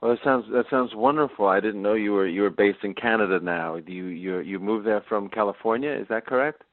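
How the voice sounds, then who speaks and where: A male interviewer poses curious follow-up questions in a lowish voice. The sound is dry and narrow, like a phone call. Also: a little fast, no echo.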